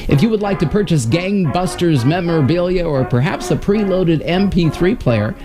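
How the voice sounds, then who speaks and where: Male host, fairly high voice, lively intonation, scripted promo delivery, clear music underneath. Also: normal speed. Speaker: show host.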